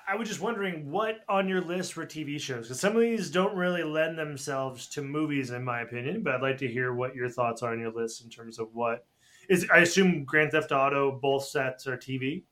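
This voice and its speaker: A man's voice: medium pitch, fairly quick, fairly bright tone. A man chatting casually as a guest judge on a panel podcast. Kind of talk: casual chat.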